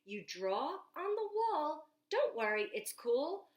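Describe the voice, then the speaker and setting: An imaginative young boy proudly leads a tour of his invented house, as a woman reads him aloud. The storybook rhymes bounce along slowly, high and swooping. The sound rings in an echoey room. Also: very animated, heavily acted. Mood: proud, excited. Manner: bouncy rhyming read-aloud, stretched words.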